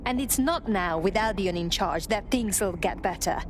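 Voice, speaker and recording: A woman's voice: medium pitch, normal speed, lightly acted. A woman acting a blunt resistance operative, noisy surroundings. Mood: skeptical. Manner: blunt, challenging. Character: skeptical, commanding female resistance operative. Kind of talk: video game dialogue.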